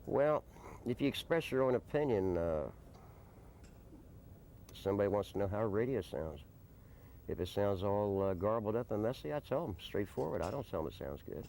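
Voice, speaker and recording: An American man answering interview questions in a deliberate, plain-spoken way with folksy turns of phrase. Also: fairly low pitch, lively intonation, gravelly, warm tone. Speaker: interviewee, desert camp resident. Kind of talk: unscripted documentary interview answers. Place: informal desert camp on location. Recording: noisy early 1990s field video recording.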